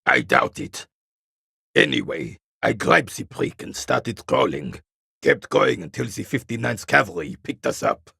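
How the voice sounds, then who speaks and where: An actor playing a proud, bitter old royalist soldier in a video game, stern and disdainful, with a gravelly, raspy voice. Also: male voice, mid-range voice, very animated, measured pace, bright, forceful tone, no room sound, studio quality, full character voice. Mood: grim recollection. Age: older. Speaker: retired carabinier working as a guard. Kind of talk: video game character dialogue.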